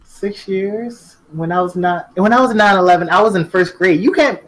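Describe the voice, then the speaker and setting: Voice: medium pitch, normal speed, fairly bright tone, rough recording. A band teacher in their twenties chatting casually over a video call, earnest and thoughtful, with some playful teasing. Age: 20s. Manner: earnest and thoughtful, teasing, frequent "you know". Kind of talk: casual chat.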